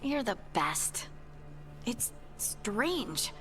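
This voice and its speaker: A woman's voice: fairly high pitch, sing-song, extremely slow, fairly bright tone, faint music behind, full character voice. A woman acting an earnest, conflicted young dwarf scout in a video game. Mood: reflective, resolute.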